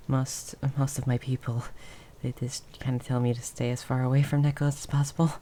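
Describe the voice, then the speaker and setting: A woman voicing a tiny timid mouse person in an improvised ASMR roleplay, soft and breathy, stammering nervously and often slipping into a whisper. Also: rumbling low, level tone. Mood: shy, nervous. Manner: nervous stammering, halting and repeating words. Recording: faint background noise with rain ambience. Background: rain sounds.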